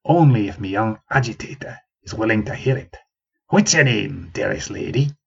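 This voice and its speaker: A stubborn old man holding court in a tavern, as a male narrator puts him on, full of bluster and grand proclamations, dropping ye and aye as he goes. His husky voice is pushed higher and louder than the plain telling, and takes on a different colour from it. The recording is dull and lo-fi. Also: very animated, moderate pace. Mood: proclaiming.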